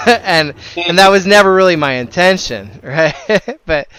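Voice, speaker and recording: A man's voice: high-pitched, measured pace, fairly bright tone, clear, resonant tone. A man who leads a gaming group, talking casually while streaming a game, earnest and rambling, with some casual swearing.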